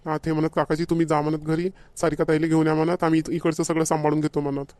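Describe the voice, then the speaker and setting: A man's voice: a little high, level tone, very fast, a little mellow, no echo, close to an everyday voice. A man voicing a fussy middle-aged village father in a cartoon comedy, with a rural Maharashtrian accent and nagging manner. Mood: reassuring. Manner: nagging, repetitive urging. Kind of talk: homemade cartoon comedy dialogue.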